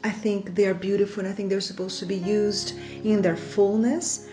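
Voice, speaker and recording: A woman's voice: mid-range voice, little pitch movement, normal speed, music in the background. A woman from Brazil with a Brazilian Portuguese accent, hosting a faith podcast in an enthusiastic, chatty way, laughing often. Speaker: podcast host. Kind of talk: casual faith podcast monologue.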